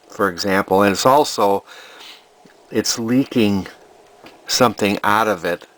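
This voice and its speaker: A man's voice: fairly low pitch, fairly bright tone. A man narrating an electronics repair casually, thinking aloud in a hesitant, rambling way. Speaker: vintage electronics repair hobbyist.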